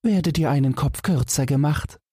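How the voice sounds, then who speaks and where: A man's voice: a little high, normal speed, warm tone, slightly rough, no echo, studio quality, voice pushed up. A man voicing a haughty, commanding young noblewoman possessed by evil spirits in a fairy tale, with aloof, courtly phrasing. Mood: coyly threatening.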